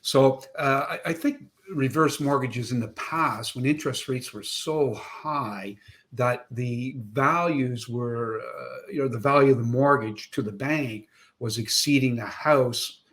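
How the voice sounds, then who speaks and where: A man's voice: medium pitch, unhurried, a bit bright. A man in his sixties hosting an interview over a video call, friendly and chatty with joking asides. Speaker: show host. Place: home office with framed certificates, indoors.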